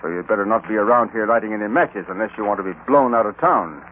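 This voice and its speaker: A man's voice: on the low side, expressive, quick pace, close to an everyday voice. A man playing a menacing, haughty villain with formal, threatening speech in an old-time radio western. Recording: tinny, hissy old radio recording.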